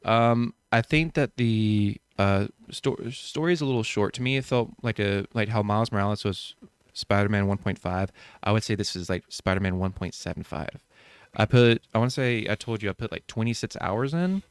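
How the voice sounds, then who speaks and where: A podcast co-host with a lowish voice and a clean, smooth tone. He rambles and jokes in relaxed chat, full of ums and likes. The sound is dry and close to the mic. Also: normal speed, no room sound. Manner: rambling, joking, lots of fillers. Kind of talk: casual chat.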